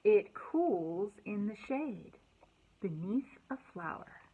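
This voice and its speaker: A woman hosting a children's storytime, reading aloud and chatting in an animated, encouraging way with simple words for young listeners. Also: mid-range voice, slow pace, slightly rough, phone-quality sound. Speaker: children's librarian and storytime host.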